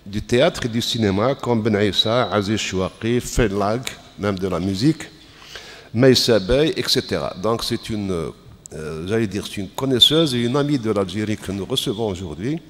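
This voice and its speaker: A man formally introducing a guest speaker at a festival, measured with occasional fillers. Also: lowish voice. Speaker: festival host, moderator. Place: lecture hall. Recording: long reverb of a hall.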